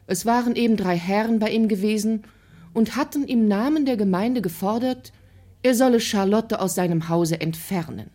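A woman's voice: medium pitch, mellow. A woman reading scripted literary narration as the narrator of an audio drama.

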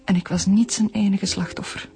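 A woman's voice: mid-range voice, fairly even intonation, fairly quick, gravelly, darkish tone, soft background music, close to an everyday voice. An actress playing an embittered, grieving middle-aged mother and long-abused wife in a radio drama. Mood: bitter, wounded.

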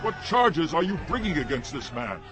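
An actor playing a commanding, exasperated Roman governor judging a case in a scripture audio drama, with declamatory delivery. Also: male voice, a little high, big pitch swings, moderate pace. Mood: challenging. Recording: music behind the voice.

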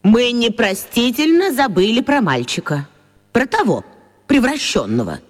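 An actress playing a severe elderly pensioner in an audio drama, scolding reproachfully. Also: female voice, fairly high pitch, sing-song, a little slow. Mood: stern reproach. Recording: echoey hall reverb.

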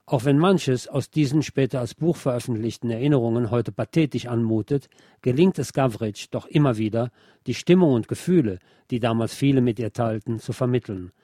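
Ein Mann, der als Sprecher einer Radiosendung einen literarischen Sachtext auf Deutsch mit deutschem Akzent vorliest. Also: male voice, medium pitch, fairly quick, dark tone, no room sound, studio quality. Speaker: radio programme presenter reading a book chapter. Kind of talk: scripted narration, literary reading.

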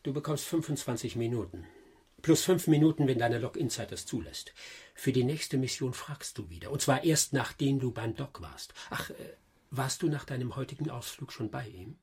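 An actor playing a stern, pedantic male mission operator in a German radio drama, husky, dry and blunt. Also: medium pitch, lively intonation, moderate pace, darkish tone. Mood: strict.

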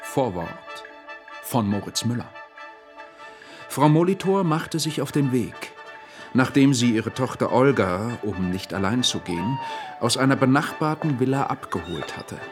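A man's voice: medium pitch, expressive, a little slow. A man narrating a radio drama in a formal, factual, scripted reading. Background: music.

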